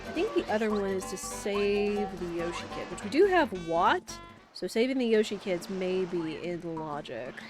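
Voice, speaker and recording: A woman's voice: mid-range voice, sing-song, moderate pace. A young woman who sounds congested and nasal from a cold, chatting casually while streaming a game with rambling, filler-heavy talk. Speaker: gaming streamer. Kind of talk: casual live-stream commentary. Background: game music.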